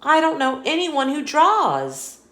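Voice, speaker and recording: A woman's voice: sits high, wildly swooping pitch, slow pace, fairly bright tone, roomy sound, voice pushed up, heavily acted. A woman voicing a confident, helpful male cat secret agent in an upbeat, reassuring way for a children's story. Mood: eager.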